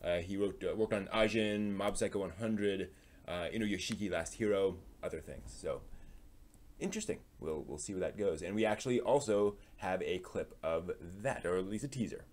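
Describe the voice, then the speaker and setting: A man with a fairly low voice presents news as the host of a live stream. His delivery is informal and a little halting, with phrases that tend to trail off as he comments on each item. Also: a little mellow.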